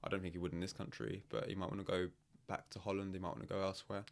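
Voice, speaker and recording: Deep-voiced podcast host. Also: male voice, flat delivery, very fast, vocal fry. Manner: leads the questions, chatty. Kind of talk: casual sports chat.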